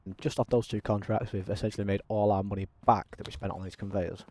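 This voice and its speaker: A man chats casually over his gameplay, his voice low-pitched and mellow. He calls his own delivery monotone, and it is dotted with ums and fillers as he narrates what he does on screen. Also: quick pace, no room sound. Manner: self-described monotone, frequent ums. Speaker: gaming channel host.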